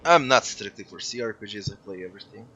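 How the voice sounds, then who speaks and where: A man, an English speaker, giving low-key, offhand commentary while streaming a game. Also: lively intonation, fast, pushed and bright, husky, highs cut off. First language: Serbian. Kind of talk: casual live-stream commentary.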